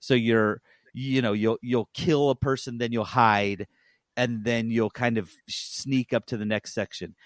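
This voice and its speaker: A man's voice: medium pitch, steady tone, unhurried, dry recording. A man co-hosting a podcast, giving a casual, rambling review and often correcting himself as he goes. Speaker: podcast co-host reviewing games.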